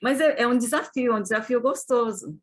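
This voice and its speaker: A woman's voice: on the high side, brisk. A woman from Brazil who teaches Portuguese to children, explaining eagerly with many restarts in a casual panel talk. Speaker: heritage Portuguese teacher in Japan.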